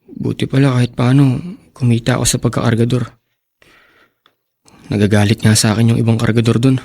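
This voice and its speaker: An actor playing a poor, desperate young husband who works as a porter, in a Tagalog radio drama. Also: male voice, medium pitch, level tone, a little fast, gravelly, darkish tone. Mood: weary, pleading.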